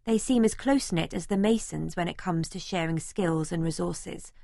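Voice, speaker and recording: A woman's voice: fairly low pitch, moderate pace, gravelly, dark tone, no echo, clean studio sound. A woman playing a young, observant female student who narrates her own life in an audiobook. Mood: observant, matter-of-fact. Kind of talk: first-person audiobook narration.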